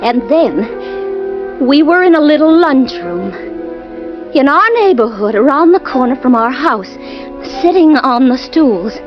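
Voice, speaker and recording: A woman's voice: high voice, lively intonation, measured pace. A woman playing a frightened young wife telling her nightmare in a 1940s radio drama, anxious and pleading, on a dull old recording with faint music.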